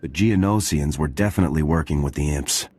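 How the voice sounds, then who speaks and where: Deep-voiced, terse soldier hero. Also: male voice, a little fast, darkish tone, slightly rough. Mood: resolute. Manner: terse, confident, matter-of-fact. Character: tough, stoic male soldier hero. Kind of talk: video game cutscene acting.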